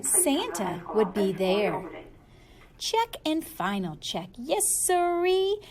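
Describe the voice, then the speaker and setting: A woman reading a children's story aloud in a playful, expressive way, leaning on words for emphasis. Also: on the high side. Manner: animated, playful, drawn-out emphasis. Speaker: storybook reader.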